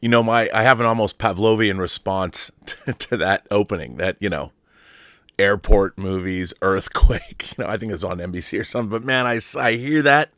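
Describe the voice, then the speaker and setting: Dry, low-voiced male show host, rambling. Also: moderate pace, a bit bright, no echo, faint music behind. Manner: rambling, with frequent restarts and chuckles. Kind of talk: casual livestream chat.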